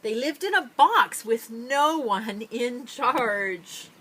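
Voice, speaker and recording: Woman reading a picture book, very animated. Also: a little high, a little hiss or hum. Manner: drawn-out dramatic phrasing with playful asides. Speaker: storybook reader. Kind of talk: expressive children's picture-book read-aloud.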